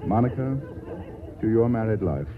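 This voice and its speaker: An actor playing a glib, self-satisfied writer with urbane, dry wit in a 1940s radio play. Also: male voice, fairly low pitch, lively intonation, normal speed, a crowd in the background, lightly acted. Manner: glib, urbane, drily witty. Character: suave, self-satisfied, mercenary male writer and charmer. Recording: noisy, tinny old radio broadcast.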